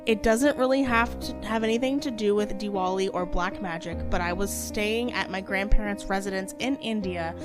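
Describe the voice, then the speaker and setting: A woman's voice: medium pitch, moderate pace, hardly any pauses, over music. A woman co-hosting a podcast, chatting casually and reading out stories. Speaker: podcast co-host telling stories.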